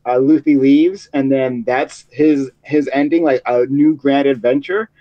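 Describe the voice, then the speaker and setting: A man's voice: medium pitch, steady tone, a little slow, no room sound. A man in a casual anime discussion, speaking somewhat tentatively over a dull, lo-fi online connection. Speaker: participant in stream discussion. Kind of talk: casual chat about anime. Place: online group voice chat. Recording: dry, dull lo-fi voice chat.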